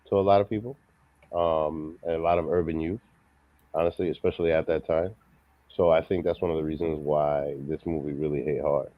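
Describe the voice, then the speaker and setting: A man's voice: very deep voice, dry recording, muffled recording. A man hosting a movie review show, introducing the panel and chatting casually about films.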